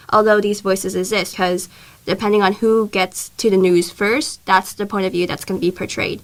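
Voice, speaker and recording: A young woman hosting a college radio talk show, welcoming guests and explaining issues in a measured way. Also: mid-range voice, fairly bright tone, low-quality recording. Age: young adult. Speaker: host.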